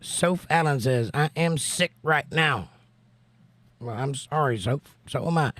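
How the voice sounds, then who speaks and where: An American man hosting a casual livestream and reading out viewer comments, hoarse from laryngitis, folksy and joking with preachy asides. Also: on the high side, measured pace, a bit bright, no echo. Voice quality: hoarse, strained. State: laryngitis, losing his voice. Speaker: livestream host.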